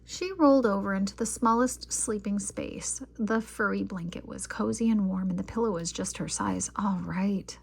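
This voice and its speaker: A woman reading a children's picture book aloud as an expressive storyteller. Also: medium pitch, moderate pace, gravelly, hardly any pauses, husky.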